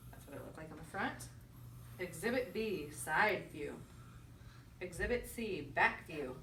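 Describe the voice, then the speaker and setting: A woman's voice: fairly low pitch, expressive, a little slow, sharp tone. A woman teacher reading a picture book aloud to children, encouraging them with questions and repeating their answers. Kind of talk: storybook read-aloud with conversational questions to children. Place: echoey indoor room with children. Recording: echoey room, distant mic, faint noise.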